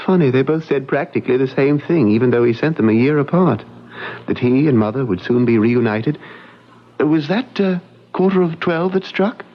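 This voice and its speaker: A young man played by a male actor in a vintage radio drama, wistful and easily frightened. His voice is husky and middle-pitched, on a dull old broadcast recording. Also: moderate pace, close to an everyday voice. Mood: wistful, nervous.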